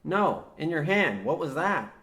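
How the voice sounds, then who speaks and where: A man's voice: on the high side, very animated, unhurried, fairly bright tone. A man playing a younger coal miner, earnest, desperate and guilt-ridden, in an old-time radio comedy. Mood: panicked, exasperated. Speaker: radio play actor.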